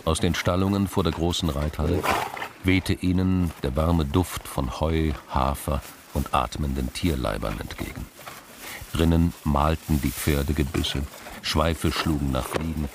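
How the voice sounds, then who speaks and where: A man narrating a crime radio drama in a gravelly, very low voice, as an unhurried storyteller. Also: very noisy. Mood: measured.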